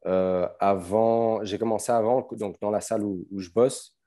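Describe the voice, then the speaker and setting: Guest coach, Belgian accent, deep and warm, easygoing with lots of pauses. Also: male voice. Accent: Belgian French. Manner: relaxed, hesitant, many fillers and restarts. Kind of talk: casual podcast conversation.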